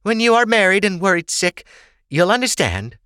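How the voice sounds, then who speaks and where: A man's voice: high voice, very animated, moderate pace, bright, forceful tone, clean tone, dry recording, clean studio sound, voice pushed up, full character voice. A man voicing a worried, loving noble matriarch with a coaxing, motherly manner, in an audiobook story. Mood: reassuring.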